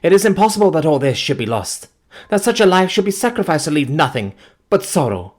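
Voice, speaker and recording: A man's voice: medium pitch, lively intonation, fast, slightly rough, pitched above the performer's own voice. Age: young adult. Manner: pleading, breathless, trembling. Figure: a devoted woman in mourning, as a male narrator puts her on, in an audiobook.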